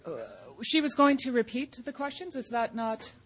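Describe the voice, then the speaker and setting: A woman's voice: high-pitched, normal speed. A woman moderating a public affairs forum, making announcements in a businesslike way with some restarts.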